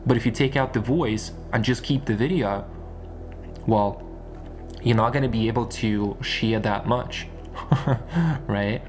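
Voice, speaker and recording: A man's voice: mid-range voice, a little slow, long pauses, faint music behind. A man giving a casual explanatory monologue like an online coach, repeating points for emphasis and often checking for agreement. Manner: emphatic and repetitive, often seeking agreement with tag questions. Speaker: YouTube business and spirituality coach.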